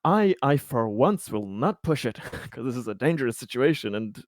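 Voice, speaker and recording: A man's voice: medium pitch, sing-song, moderate pace, dry recording, studio quality. A man playing a tabletop game, talking casually and hesitantly with many fillers and chuckles. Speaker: player.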